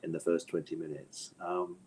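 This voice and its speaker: Deep male voice, casual interview guest, literary chat, hesitant and meandering phrasing. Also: little pitch movement, normal speed, dark tone, poor audio. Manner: hesitant, digressive, thoughtful phrasing with repeated tag questions.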